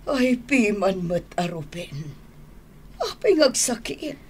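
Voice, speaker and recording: Slow, swooping Ilocano mother. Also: mid-range voice, sing-song, a little mellow, husky, heavily acted. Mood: concerned, fussing. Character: fussing, anxious mother of a grown daughter. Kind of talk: Ilocano radio drama acting.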